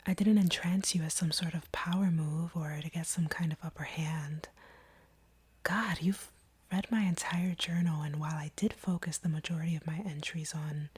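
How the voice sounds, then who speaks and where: Casting: actress as a conflicted vampire woman for intimate audio roleplay; very breathy whisper, lowish pitch, earnest and deliberate. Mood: pained, insistent. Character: conflicted female vampire confessing feelings to her hunter.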